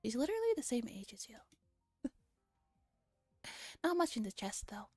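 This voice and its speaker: Female ASMR streamer reading a visual novel, soft and mellow, faint music behind. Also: fairly high pitch, sing-song, normal speed, no echo, studio quality. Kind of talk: visual novel ASMR read-aloud with casual comments.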